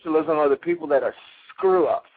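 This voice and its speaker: A man's voice: a little high, fast. A man with an Italian accent calling in to a radio show, ranting crudely and heatedly with frequent stumbles. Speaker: caller. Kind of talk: call-in radio rant. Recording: tinny phone line.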